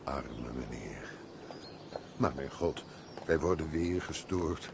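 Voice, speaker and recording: An actor playing an old, devoted and deferential manservant in a radio play, with a gravelly voice. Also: male voice, extremely low pitch, animated, takes it slowly, background noise, full character voice. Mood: pitying. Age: older. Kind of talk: Dutch radio play acting.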